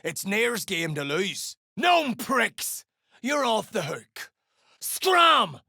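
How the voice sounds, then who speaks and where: Fantasy game gang boss, female duergar: growling, slangy, menacing, unhurried, with very animated pitch swings. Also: no room sound, clean studio sound, exaggerated voice. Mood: angry, threatening. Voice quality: gravelly, growling. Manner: gruff, crude, slangy, threatening. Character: foul-mouthed, menacing duergar mercenary gang boss, greedy for coin. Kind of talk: video game character dialogue.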